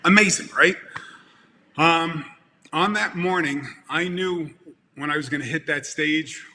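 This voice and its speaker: A man in his fifties with a Brooklyn accent, giving an animated motivational talk over a video call and repeating words for emphasis. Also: a little high, long reverb tail, slight background noise. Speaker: event producer speaking to a conference. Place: home room with plants and lamps, indoors. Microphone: video call webcam.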